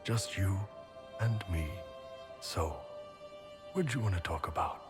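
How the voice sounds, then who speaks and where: An actor voicing a big, laid-back horned warrior in a video game, blunt, casual and unhurried. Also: male voice, low-pitched, animated, loud music behind the voice. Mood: intimate, teasing.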